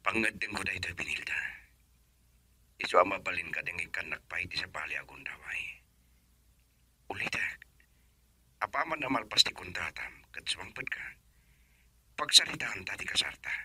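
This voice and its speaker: A man playing a lovelorn young man in a radio drama, speaking softly with a yearning tone. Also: sits low, lively intonation, moderate pace, pauses often, husky, dry recording, full character voice. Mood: longing. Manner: soft, hushed, yearning delivery. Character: lovelorn young man pining for his sweetheart.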